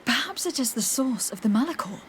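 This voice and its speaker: An actress playing a composed, inquisitive female adventurer in a video game, with a measured, questioning delivery. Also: fairly high pitch, expressive, a little hiss or hum, close to an everyday voice. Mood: concerned. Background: game music under the voice.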